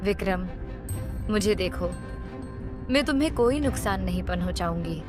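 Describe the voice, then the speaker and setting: A woman voicing a gentle magical cow in a cartoon moral story, earnest and reassuring. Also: mid-range voice, lively intonation, moderate pace, lightly acted. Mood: reassuring, solemn. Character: kindly magical talking cow, gentle benefactor. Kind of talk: Hindi cartoon story voice acting. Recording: music bed behind the voice.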